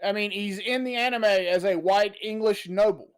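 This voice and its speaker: A podcast co-host in casual chat, blunt and animated, swearing freely while ranting. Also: mid-range voice, normal speed, clean tone.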